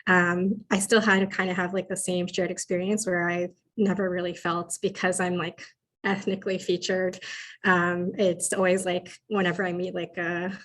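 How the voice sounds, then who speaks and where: A woman's voice: on the low side, little pitch movement, normal speed, fairly bright tone. Casual young woman, lots of "like". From Canada. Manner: casual, laughing, filler-heavy. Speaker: panelist. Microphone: video call.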